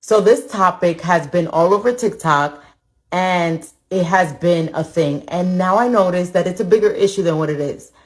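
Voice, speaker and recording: Chatty woman talking to her followers, low voice. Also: moderate pace. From Dominican Republic. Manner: chatty, keeps asking the audience "right?". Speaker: content creator. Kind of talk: casual social media talk.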